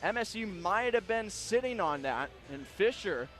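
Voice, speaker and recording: A man calling live hockey play-by-play on the radio in an excitable manner, ready to build into shouted calls. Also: high-pitched, a little slow, faint music behind. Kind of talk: live sports radio commentary. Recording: live broadcast with clear background noise.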